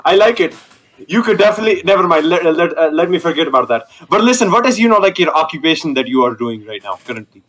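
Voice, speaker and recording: Loud high-voiced young man on headset. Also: expressive, quick pace. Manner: loud, cocky, joking. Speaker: online date contestant. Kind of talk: casual video call banter. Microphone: gaming headset on video call.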